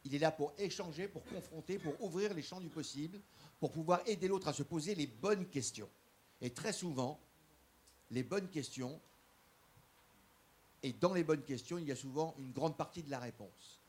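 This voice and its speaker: A man giving an earnest public speech as guest speaker, halting often and repeating small words as he goes. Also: fairly high pitch, a little mellow, slight background noise. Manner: earnest, stresses key points. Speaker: guest speaker promoting a mentoring program. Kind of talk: public speech at a formal session.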